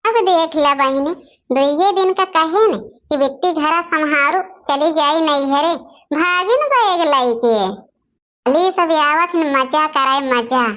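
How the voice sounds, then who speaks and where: A woman voicing grumbling, gossipy village sisters-in-law in a cartoon, speaking with an Awadhi accent and a drawn-out delivery. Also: high voice, a bit bright. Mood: sly, gossipy. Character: scheming, complaining village women, sisters-in-law in family squabble. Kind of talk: cartoon dialogue in rural dialect.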